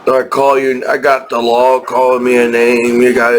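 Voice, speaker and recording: A man in his thirties talking in a rambling personal vlog, halting and repetitive with many restarts. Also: medium pitch, completely flat delivery. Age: 30s. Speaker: vlogger.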